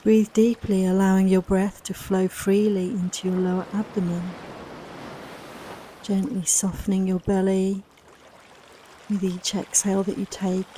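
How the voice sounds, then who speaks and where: A woman leading a guided relaxation meditation in a soft, soothing, unhurried, reassuring manner, with long pauses between phrases. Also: medium pitch, flat delivery, slight background noise. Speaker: guided meditation and yoga nidra teacher.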